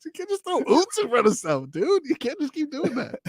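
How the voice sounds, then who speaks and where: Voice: sits high, huge pitch swings, fairly quick, no echo. A sports radio co-host chatting in a giggly, teasing way from the studio. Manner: giggly, teasing, laughs often. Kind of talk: casual radio banter. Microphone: studio mic.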